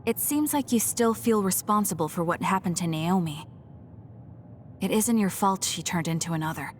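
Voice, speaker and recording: A woman's voice: lowish voice, moderate pace, slight background noise, close to an everyday voice. A woman playing a young, guarded female soldier in a video game, speaking in a blunt, matter-of-fact way. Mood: matter-of-fact, probing. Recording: studio voice under loud game music.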